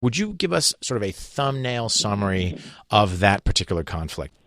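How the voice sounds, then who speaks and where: A man's voice: fairly low pitch, big pitch swings, normal speed, fairly bright tone, dry recording, clean studio sound. A man anchoring a news interview, asking brief, prompting questions.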